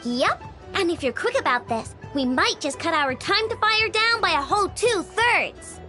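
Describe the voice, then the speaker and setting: A cheeky young genius mechanic girl from a video game, boasts in a very high, lively voice. The manner is casual and brash, and music plays clearly behind. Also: moderate pace, heavily acted. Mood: confident, brisk.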